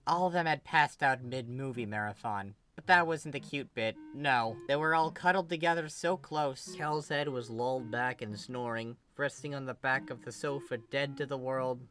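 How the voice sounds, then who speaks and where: A person reading a story aloud as an expressive narrator, doing scripted prose and character dialogue. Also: medium pitch, moderate pace, few pauses. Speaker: fan fiction reader. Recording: music behind the voice. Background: music.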